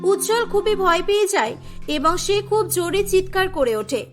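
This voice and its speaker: A woman's voice: extremely high pitch, expressive, normal speed, soft background music. A woman narrating a cartoon story from a script.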